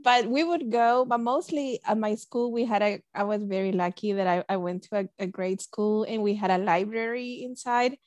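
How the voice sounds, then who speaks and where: A woman's voice: medium pitch, fairly even intonation, moderate pace, hardly any pauses, a little mellow, dry recording. A woman from El Salvador, a librarian guest telling her story in an earnest, conversational way.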